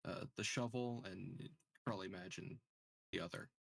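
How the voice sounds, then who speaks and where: A man chatting casually as a game player, halting and often repeating himself as he thinks aloud. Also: deep voice, vocal fry, no echo. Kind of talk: casual chat during a game.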